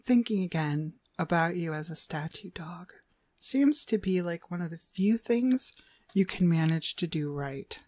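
A woman playing a cruel, domineering demonic dog trainer in an ASMR roleplay, haughty and scolding with drawn-out emphasis. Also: on the low side, expressive, a little mellow, no echo. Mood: cold contempt.

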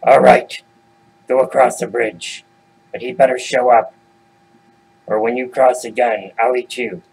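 A man's voice: mid-range voice, level tone, measured pace, gravelly, darkish tone, a little hiss or hum, poor audio. A man voicing a greedy, grumpy troll in a storybook tale, blunt and demanding. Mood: grudging threat. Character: greedy, grumpy bridge troll, menacing and gullible.

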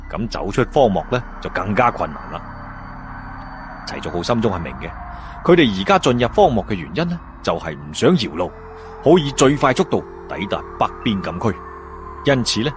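Hong kong cantonese guy telling a fantasy tale, lowish voice that swoops a lot, music under it. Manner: expressive, colloquial, with drawn-out sentence particles. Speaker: storyteller. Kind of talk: dramatic audiobook-style fantasy story narration. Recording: music behind the voice.

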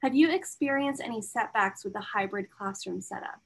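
A woman's voice: mid-range voice, moderate pace, vocal fry, husky. A young woman hosting a virtual open house from a home room on a webcam, upbeat, with frequent ums. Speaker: event host. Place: home room with framed pictures. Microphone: laptop webcam mic.

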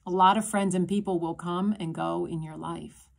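A woman in her thirties, a pastor, telling a children's sermon in a gentle, simple storytelling way, recorded on a phone in a small room. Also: on the low side, fairly even intonation, moderate pace, gravelly. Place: small indoor room with a block wall. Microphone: phone camera at arm's length.

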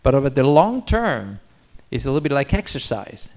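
A man's voice: medium pitch, sing-song, moderate pace, darkish tone. A man from Italy with an Italian accent, a doctor answering questions informally on a panel, speaking into a handheld microphone. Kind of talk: informal expert Q&A. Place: auditorium stage.

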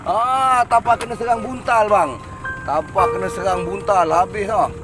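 A man's voice: sits high, fairly even intonation, normal speed, over music. A middle-aged man with a Malaysian Malay accent giving casual, laughing commentary while fishing from a small boat on a river. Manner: chatty, laughing often, teasing his companion. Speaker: fishing vlogger.

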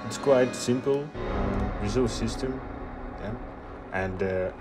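Slow, very low-voiced man presenting. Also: over loud music. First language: Russian. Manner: hesitant, many filler sounds. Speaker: media artist presenting at a meetup. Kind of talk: screen-share tutorial presentation.

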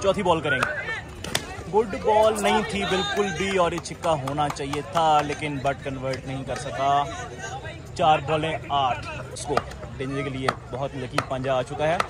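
Man doing lively amateur cricket commentary. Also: a little high, moderate pace. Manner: excited commentary, rising on big shots. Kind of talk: live ball-by-ball commentary. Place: outdoor floodlit cricket ground, netted. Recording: crowd noise from an outdoor ground. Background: players and crowd chatter.

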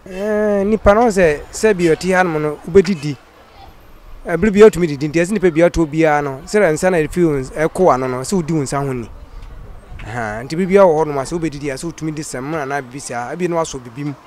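A man's voice: fairly high pitch, very fast, darkish tone, a little hiss or hum. A middle-aged man answering a street interviewer, earnest, mixing Twi with English words. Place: outdoors by an office doorway. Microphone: handheld mic held by interviewer.

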